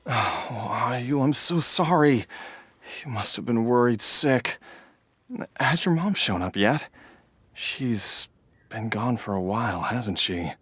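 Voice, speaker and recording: A frail father in a video game, just back from a long illness. His voice is husky and thin, and he speaks slowly, halting and unsure, with pitch that still lifts and falls. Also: animated, fairly bright tone, dry recording, heavily acted. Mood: confused. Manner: slow, halting, confused. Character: frail recovering father, middle-aged man, gentle and forgetful. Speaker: patient.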